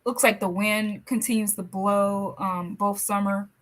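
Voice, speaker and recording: A woman from the American South hosting a podcast interview, friendly and affirming, playful as she runs a quick game. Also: mid-range voice, flat delivery, fairly bright tone. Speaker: podcast host. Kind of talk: casual interview chat.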